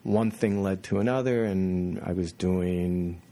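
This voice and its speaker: Low, mellow middle-aged man from France. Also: measured pace. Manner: rambling anecdotes with many fillers. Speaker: production designer. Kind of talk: casual interview storytelling.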